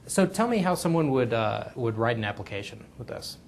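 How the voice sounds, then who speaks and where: A man's voice: mid-range voice, big pitch swings, fairly quick, creaky. A man conducting a casual interview, asking questions and responding briefly.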